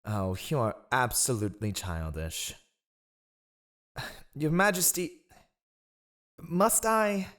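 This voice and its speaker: A man's voice: medium pitch, wildly swooping pitch, takes it slowly, pauses often, fairly bright tone, exaggerated voice. A man playing a scheming, haughty royal spy master in a fantasy audio roleplay, with sardonic, theatrical disdain. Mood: grudging disdain.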